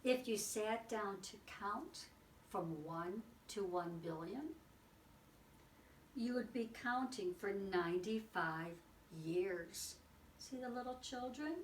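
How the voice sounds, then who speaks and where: Slow-paced woman reading aloud, roomy distant sound. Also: on the high side. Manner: expressive, exclaiming, with dramatic pauses and asides. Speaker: teacher reading a picture book aloud. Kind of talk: read-aloud storytelling for children. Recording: roomy, distant mic with faint noise.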